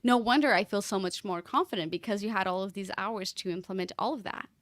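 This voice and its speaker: A woman's voice: medium pitch, quick pace, a bit bright, no room sound. Woman on a podcast. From Portugal. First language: Portuguese. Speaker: podcast guest. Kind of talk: casual podcast conversation.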